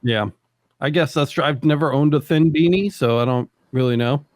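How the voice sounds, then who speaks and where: A man's voice: on the high side, moderate pace, darkish tone. A man in his thirties hosting a podcast, chatting casually and rambling with lots of fillers. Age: 30s. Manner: laid-back, rambling, lots of "like" and "dude". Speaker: podcast host. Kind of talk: casual chat.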